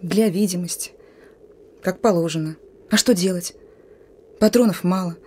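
A woman playing a young female partisan sentry in a radio drama, blunt and colloquial, gruff yet dreamy. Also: lowish voice, normal speed, mellow. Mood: gruff, bossy. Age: young adult. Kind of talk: Russian radio drama acting.

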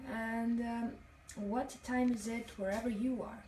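A woman's voice: a little high, steady tone, unhurried, darkish tone. Young woman, native italian speaker, chatting on a phone live, lots of ums, echoey bathroom, faint hum behind. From Italian-speaking Switzerland. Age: young adult. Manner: chatty, reads comments aloud, many fillers. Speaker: livestream host. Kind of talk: casual livestream chat. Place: hotel bathroom, sitting on the floor. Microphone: phone held at arm's length, talking to a mirror. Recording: echoey tiled bathroom, phone mic at a distance.

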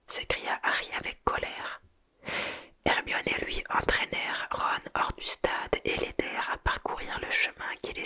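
A storyteller whispering a novel aloud in French in a breathy, unvoiced ASMR style, measured, with acted dialogue. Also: sharp tone. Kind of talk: whispered audiobook reading, ASMR.

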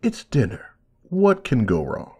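Man as a husky, animated castle servant. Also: medium pitch, measured pace, exaggerated voice. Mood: reassuring. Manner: reassuring. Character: reassuring enchanted male castle servant. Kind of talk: audio drama acting.

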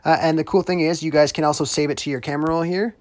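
A young man narrates a tutorial in a casual, conversational way. His voice sits a little higher than most men's. The sound is close and dry, with no room echo. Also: fairly even intonation, very fast. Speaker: host of app tutorials.

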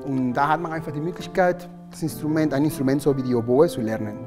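Man, Spanish accent, native Spanish speaker, friendly, hesitant speech, clear music behind him. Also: on the high side, fast talker, in a large hall. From Venezuela. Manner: friendly, hesitant, many ähm fillers. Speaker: orchestral oboist, guest. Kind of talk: casual explaining. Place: stage set, indoors.

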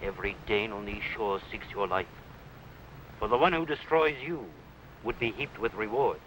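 A middle-aged actor playing a fervent, loyal Saxon follower in an old television costume drama, declaiming emphatically in archaic phrases. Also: male voice, lowish voice, normal speed, fairly bright tone, a little hiss or hum, lightly acted, poor audio. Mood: urgent, fervent.

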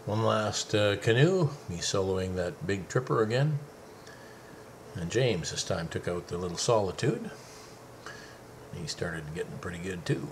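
A man's voice: low-pitched, animated, unhurried, background noise. A middle-aged man narrating a home video of his family's day by a lake in an easygoing, chatty way. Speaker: father filming a family outing. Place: outdoors by a lake.

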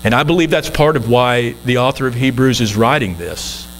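A man's voice: mid-range voice, moderate pace, slight background noise, rough recording. A man in his sixties, a guest minister, preaching an earnest, conversational sermon from the pulpit of a church.

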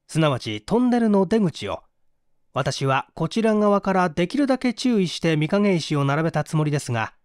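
A man narrating a mystery story as a first-person confession, in a measured, formal literary delivery. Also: a little high, a little mellow, no echo, studio quality. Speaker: audiobook narrator.

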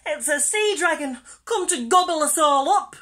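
Woman doing a loud, high, boastful little sea creature. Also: wildly swooping pitch, slow, full character voice. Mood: panicked. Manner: boastful, shrieking when scared. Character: Percy, boastful little male sea creature, easily frightened.

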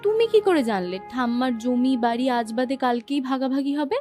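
A kind, inquisitive young village woman in a cartoon. Her voice is very high, with an earnest, questioning delivery. Soft music plays in the background. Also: animated, normal speed. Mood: worried, resolute. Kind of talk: cartoon voice acting.

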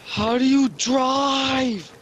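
A woman's voice: lowish voice, wildly swooping pitch, normal speed, fairly bright tone, highs cut off. A young woman playing a grumbling, destructive male cat deity in an amateur game role-play, whiny and given to exclaiming. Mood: frustrated, whiny. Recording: noisy home game voice chat.

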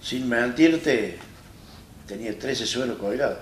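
An Argentine man in his fifties speaks plainly and haltingly, restarting his phrases as he tells his story. His voice sits at a middling pitch and is heard from a distance in an echoey studio, with a faint hiss underneath. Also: expressive. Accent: Argentine Spanish. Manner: plain-spoken, halting and retelling with many restarts. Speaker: interview guest. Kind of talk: personal story in a studio interview. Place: small studio set, seated in armchair. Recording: roomy echo, distant mic, faint hiss.

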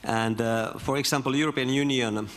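A man's voice: medium pitch, fairly even intonation, moderate pace. A man in the audience asking a long, hesitant question full of fillers. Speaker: audience member.

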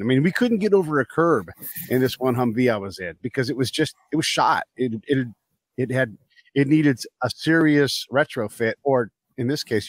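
A man's voice: mid-range voice, dry recording. An American man hosting a podcast, talking in a casual, conversational interviewing style. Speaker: podcast host.

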